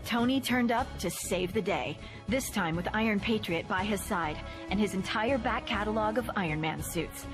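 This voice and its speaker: A woman's voice: medium pitch, normal speed, lightly acted. An actress playing a chatty woman who narrates game cutscenes with wry, joking asides. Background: music.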